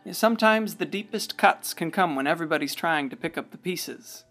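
A woman's voice: on the low side, lively intonation, brisk, a bit bright. An actress playing a folksy narrator in a radio play, musing and conversational as she watches over a town. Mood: folksy, confiding. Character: folksy storyteller watching over a river town's generations. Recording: faint music behind voice.

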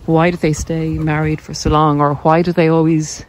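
A woman's voice: sits low, flat delivery, normal speed. A woman giving an informal, explanatory monologue as a podcast host, posing questions and answering them in a conversational way. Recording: loud vehicle and wind noise, clicks.

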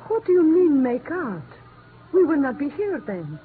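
An earnest, expressive radio heroine. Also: female voice, high voice, a little slow, phone-quality sound, faint music behind. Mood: urgent. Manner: formal, careful phrasing without contractions. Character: earnest, firm woman working at a jungle clinic. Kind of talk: 1950s radio drama acting. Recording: thin, band-limited old radio recording.

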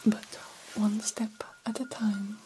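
A woman voicing a formal, grandiose vampire doctor in a breathy ASMR whisper, slowly and theatrically mentoring a fledgling. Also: mid-range voice, little pitch movement, mellow, a little hiss or hum, natural voice, rough recording. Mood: coaxing, instructive. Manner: slow, deliberate, formal and theatrical. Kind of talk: ASMR roleplay.

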